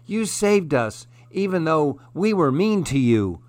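Man voicing a small high-pitched female storybook mouse. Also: big pitch swings, unhurried, a little mellow, dry recording. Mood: grateful. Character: small female mouse, rueful little woodland fairy. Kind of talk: children's storybook character voice.

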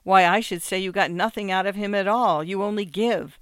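A man's voice: high-pitched, steady tone, normal speed, a bit bright, clear, resonant tone, no room sound, studio quality, voice pushed up. A man voicing a wealthy wife in a short story, mocking and theatrically gushing, with ironic, condescending exclamations. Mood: scornful. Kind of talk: acted literary short story.

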